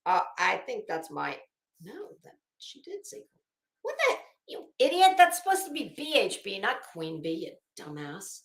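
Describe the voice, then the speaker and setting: A woman's voice: mid-range voice, sing-song, normal speed. A woman reading documents aloud dramatically, breaking off into sarcastic, brash, profane commentary as an online host. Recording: echoey room.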